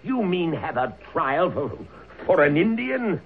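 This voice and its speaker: Husky, blustering colonial settler. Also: male voice, on the high side, big pitch swings, measured pace, exaggerated voice. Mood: blustering, hostile. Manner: blustering, insistent. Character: belligerent, self-righteous colonial settler father, pushy. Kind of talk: old-time radio drama acting. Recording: phone-like, thin old radio recording.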